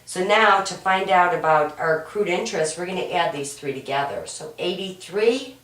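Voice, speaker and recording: A woman teaching an accounting homework walkthrough, hoarse and raspy from a cold, patient and step-by-step, often checking in with "right?". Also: on the low side, normal speed, a bit bright. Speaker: accounting instructor. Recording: roomy echo, mic at a distance.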